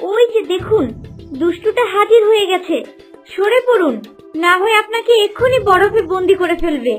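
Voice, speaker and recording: A tiny talking horse from a cartoon tale, played by a woman. The voice is extremely high, earnest and exclamatory, anxious to help. Faint music runs underneath. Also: animated, moderate pace. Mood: urgent, pleading. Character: small loyal talking horse, anxious and helpful. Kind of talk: cartoon story dubbing.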